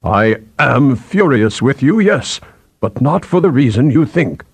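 A man's voice: medium pitch, very animated, measured pace, husky, dry recording, voice pushed up, full character voice. A man voicing a stern, austere old head scientist with an emphatic, commanding manner in an audiobook. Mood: angry, indignant. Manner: ominous, emphatic.

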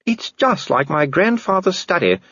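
A man voicing a kindly aristocratic lady who speaks in a high, gentle, proper way. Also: sing-song, moderate pace, breathy edge, no room sound, dull audio, heavily acted. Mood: fond.

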